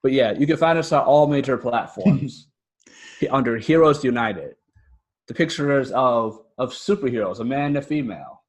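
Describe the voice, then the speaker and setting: A man's voice: mid-range voice, animated, normal speed. An American man speaking casually and earnestly as an interview guest. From United States. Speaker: podcast guest, fiction writer and podcaster.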